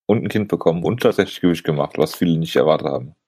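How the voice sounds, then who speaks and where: A man's voice: extremely low pitch, a little mellow. A man co-hosting a casual podcast chat, teasing the others and jumping in with short remarks. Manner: teasing, quick laughs, jumps in with short remarks. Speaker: podcast co-host.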